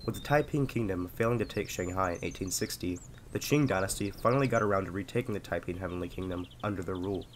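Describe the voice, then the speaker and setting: Sick young dude, real low voice, reading a history script, faint background sound. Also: male voice, fast talker, a little hiss or hum. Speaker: history video narrator. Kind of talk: scripted narration.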